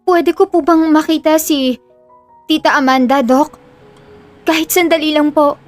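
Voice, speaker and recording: A woman playing a polite, soft-spoken young niece with hidden cunning in an audio drama. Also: high voice, steady tone, a little slow, faint music behind. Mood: meek.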